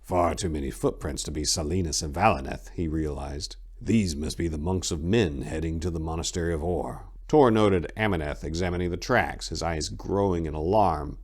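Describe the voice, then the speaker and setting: A man giving a theatrical, suspenseful dramatic reading of a dark fantasy story as an audiobook narrator. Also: sits low, normal speed, hardly any pauses.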